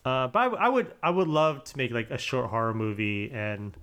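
A man's voice: medium pitch, a little fast, smooth. A man hosting a podcast, chatting loosely with lots of fillers and restarts. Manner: relaxed, rambling with many fillers. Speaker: podcast host. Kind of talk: casual chat.

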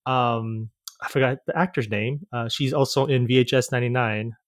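Casting: mid-pitched male podcast host, friendly, a bit stammery, dry close sound. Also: moderate pace, dry recording. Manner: friendly, laughs often, stammers a little. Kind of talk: casual interview chat.

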